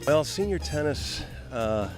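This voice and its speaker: A retired man in his sixties who plays senior tennis, speaking in a relaxed, musing way. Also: fairly high pitch, a little slow, music in the background.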